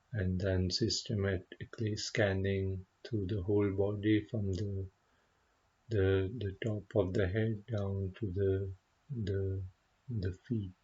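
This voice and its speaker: A man leading a guided meditation as a teacher, speaking gently and unhurriedly with frequent pauses and a husky voice. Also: deep voice, muffled recording. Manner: gentle, unhurried, pausing often.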